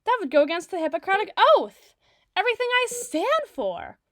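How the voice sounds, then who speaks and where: A woman playing an obsessive, doting doctor in an ASMR roleplay, speaking softly and articulately with theatrical tenderness. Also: extremely high pitch, sing-song, a little fast, dry recording. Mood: soothing, coy. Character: obsessive, doting yandere woman doctor, soothing but possessive. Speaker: audio roleplay voice performer.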